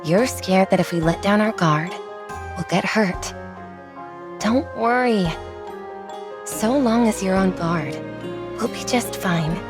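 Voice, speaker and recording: A woman playing a calm, easygoing young squadmate in a video game, with a gentle, reassuring manner. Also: mid-range voice, lightly acted. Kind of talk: voiced game story dialogue.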